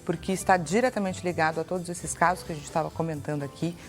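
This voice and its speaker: A woman's voice: fairly low pitch, fairly even intonation, fast, soft background music. A Brazilian woman hosting a TV interview, asking polite, formal questions. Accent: Brazilian Portuguese. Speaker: TV host.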